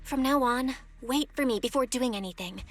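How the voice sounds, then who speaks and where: A woman's voice: high-pitched, moderate pace, soft background music, close to an everyday voice. A woman playing a caring, sensible young woman who leads her group in a video game. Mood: focused.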